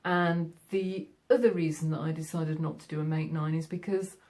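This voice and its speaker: A woman's voice: low-pitched, steady tone, normal speed, darkish tone. A middle-aged woman with a Yorkshire accent, chatting casually to camera about her sewing from her sewing room at home, friendly and full of fillers. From Yorkshire, England. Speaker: sewing vlogger.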